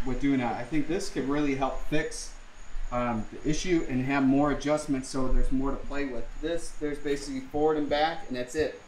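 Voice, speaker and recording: A man's voice: mid-range voice, echoey room, a little hiss or hum, poor audio. A man casually explaining a jet ski part swap, thinking aloud with lots of fillers, recorded on a camera in his home garage. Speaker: vlogger. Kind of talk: casual how-to vlog.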